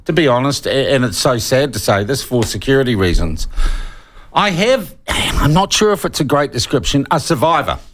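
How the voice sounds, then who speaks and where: A man with a New Zealand accent hosting a conversational radio interview in a studio, with a halting, hedging delivery. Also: medium pitch, big pitch swings, a bit bright, slight background noise. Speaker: radio talk show host. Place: broadcast studio.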